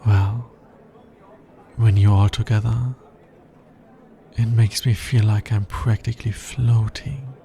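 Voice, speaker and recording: A man playing a tender, earnest alien scientist in an intimate ASMR romance roleplay, soft-spoken and measured. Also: on the low side, steady tone, gravelly, a little hiss or hum. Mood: enchanted. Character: smitten male alien scientist, earnest, tender, fascinated by humans.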